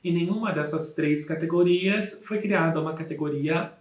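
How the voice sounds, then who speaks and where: Higher-voiced Brazilian man presenting research, echoey room. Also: normal speed. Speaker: academic researcher presenting a paper. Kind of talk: formal scholarly presentation.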